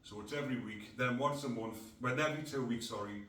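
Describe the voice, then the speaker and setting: A man's voice: mid-range voice, fast talker, fairly bright tone. A middle-aged man from North East England, hosting a casual podcast in a blunt, rambling way. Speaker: podcast host. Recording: echoey room, distant mic.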